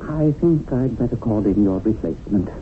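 Guy doing a prissy otherworldly boss, sceptical, swoopy pitch, tinny old radio drama. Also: expressive, moderate pace, close to an everyday voice. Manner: arch, questioning, swooping. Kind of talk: 1970s radio drama acting. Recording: thin, noisy 1970s radio recording.

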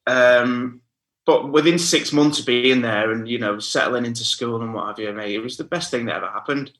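Bright-voiced Northern English man, chatty. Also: mid-range voice, moderate pace. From northern England. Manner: relaxed, chatty, says "mate" and "you know" often. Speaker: guest, house music DJ and producer. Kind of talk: casual podcast conversation.

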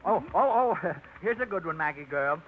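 An earnest, fussy man in a 1950s radio comedy-drama. His voice is fairly high and lively, with a hesitant, pedantic delivery. It sounds thin and tinny. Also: moderate pace, slight background noise. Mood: eager, fussy. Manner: hesitant, throat-clearing, pedantic. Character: earnest, fussy, helpful man reading phrasebook French. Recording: thin phone-like old radio recording.

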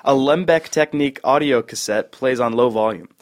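A man's voice: mid-range voice, normal speed. Man reading screenplay stage directions. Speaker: scene direction reader. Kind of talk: scripted narration of screenplay directions.

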